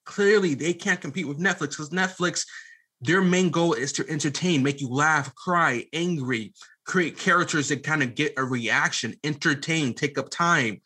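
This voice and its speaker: A man's voice: a little high, normal speed, sharp tone, slightly rough. A man in his late twenties answering as a podcast guest, emphatic and conversational, often repeating phrases and restarting as he explains his venture. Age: late 20s. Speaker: podcast guest, media app founder.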